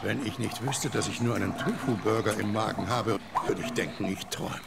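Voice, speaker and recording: Creaky, bewildered old grandpa. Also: male voice, fairly low pitch, brisk, very noisy. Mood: bewildered, amazed. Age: older. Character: grumbly, astonished old grandpa, sceptical but imaginative. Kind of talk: children's audio drama acting.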